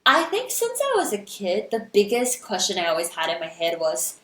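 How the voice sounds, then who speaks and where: A woman's voice: mid-range voice, huge pitch swings, normal speed, fairly bright tone. A young woman from Singapore, a singer and content creator, talking as a podcast guest in a reflective, bubbly way with lots of "like" and "right?". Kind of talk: casual podcast conversation. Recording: roomy echo.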